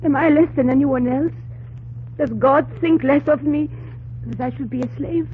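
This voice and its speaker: A woman's voice: sits high, normal speed, lightly acted. Radio-play runaway servant girl, played by a woman: tremulous, proud, earnest, on a tinny, faintly noisy vintage recording. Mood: indignant. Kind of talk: old-time radio drama acting.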